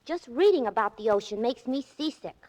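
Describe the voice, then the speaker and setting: A woman's voice: fairly high pitch, expressive, brisk, a little mellow, lightly acted. An actress playing a naive, teasing, perky young woman in 1940s radio drama style.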